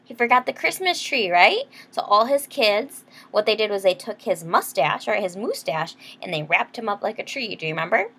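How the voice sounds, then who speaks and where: A woman's voice: mid-range voice, expressive, moderate pace, hardly any pauses, pushed and bright, slightly rough. A woman reading a picture book aloud to young children, explaining things simply and checking in with questions. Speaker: children's storybook reader, teacher. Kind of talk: read-aloud storytime with explanations.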